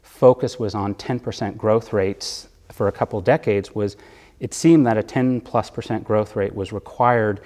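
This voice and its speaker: A man being interviewed as a bond fund manager, explaining economics in a measured way with occasional fillers. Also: on the low side, fairly even intonation, a little mellow, in a large hall.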